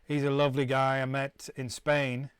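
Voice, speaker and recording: A man's voice: medium pitch, little pitch movement, normal speed, smooth, dry recording. A middle-aged man from the UK, chatting casually to viewers on a live stream, rambling and restarting often. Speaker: musician hosting a live stream.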